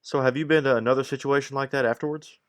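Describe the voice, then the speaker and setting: A man playing a brisk TV field correspondent, asking interview questions in a conversational news style. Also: mid-range voice, fairly even intonation, close to an everyday voice. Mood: inquiring.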